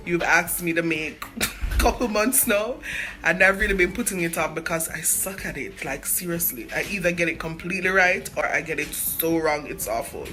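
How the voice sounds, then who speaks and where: A woman's voice: on the low side, moderate pace, sharp tone. A young woman with a Jamaican accent hosts a casual cooking vlog, chatty and self-deprecating, slipping into patois. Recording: music playing behind the voice. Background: music.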